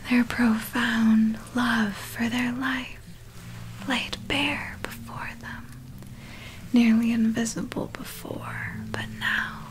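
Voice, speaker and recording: A woman playing a wicked female vampire in a whispered ASMR roleplay, breathy and deliberate as she savors her storytelling. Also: a little high, poor audio.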